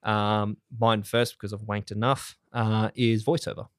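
Soft-spoken man hosting a podcast. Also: fairly low pitch, normal speed, no echo, studio quality. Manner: soft-voiced, relaxed and swearing casually. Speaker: podcast host. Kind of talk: casual podcast chat. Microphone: studio mic in a home-built voiceover booth.

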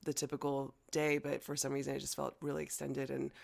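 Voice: mid-range voice, monotone, fairly quick, gravelly. An American-born speaker whose first language was Chinese, chatting casually with many fillers and easy laughter. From United States. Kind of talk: casual conversation.

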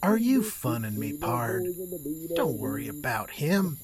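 A man's voice: on the high side, very animated, unhurried, over a noisy crowd. A man playing a short-tempered outlaw ringleader with an American cowboy drawl in a western audio drama. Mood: scheming.

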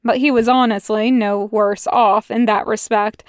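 A woman's voice: fairly high pitch, steady tone, unhurried, smooth, no echo, clean studio sound. Woman reading fan fiction aloud. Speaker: podfic reader. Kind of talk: fan fiction audiobook narration.

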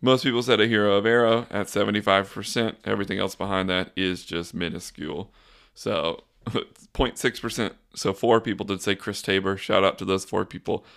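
A young man hosting a casual solo sports podcast, talking in a flat, monotone, rambling way. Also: on the low side, normal speed, gravelly. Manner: monotone, says so himself; relaxed, rambling with fillers and restarts. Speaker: podcast host.

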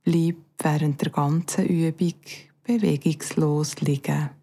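A woman with a Bernese Swiss German accent guides a meditation as a yoga nidra instructor, calm and soothing, with long pauses and softly breathy phrase endings. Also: sits low.

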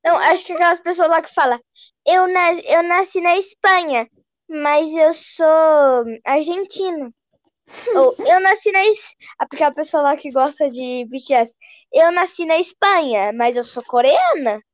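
High-voiced playful podcast host. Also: unhurried, no echo. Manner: joking, playful. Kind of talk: casual chat. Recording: dry, dull lo-fi recording.

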